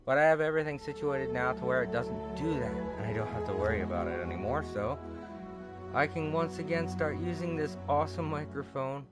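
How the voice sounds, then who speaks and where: A sleepy man chatting casually on a livestream, rambling and halting with many fillers, self-deprecating. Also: mid-range voice, animated, over loud music. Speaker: streamer. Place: home room with a TV. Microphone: cardioid desk microphone.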